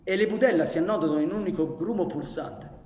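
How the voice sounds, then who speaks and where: Casting: male actor as proud, brooding anarchist outlaw, higher-pitched, theatrical radio drama, reverberant room. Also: a little mellow, slight background noise, natural voice. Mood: grave, brooding. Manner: dramatic, deliberate. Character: intense male anarchist bandit, proud, bitter and commanding. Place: live radio performance in an echoey space. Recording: reverberant echoey hall with music.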